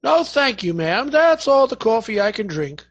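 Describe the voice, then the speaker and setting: Man as talkative cop, eager, folksy, insistent, very lively intonation, radio comedy. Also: very high voice, moderate pace, dull audio, heavily acted. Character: eager, chatty policeman and would-be playwright. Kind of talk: radio play acting.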